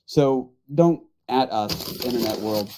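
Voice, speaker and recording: A man's voice: medium pitch, takes it slowly, background noise. A man talking casually as a podcast panelist, with a wry, joking manner. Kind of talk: casual chat.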